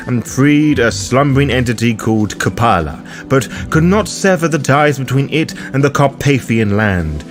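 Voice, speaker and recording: A man narrating scripted game lore as a guide's host, in a formal, theatrical storyteller's manner. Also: mid-range voice. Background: music under the voice.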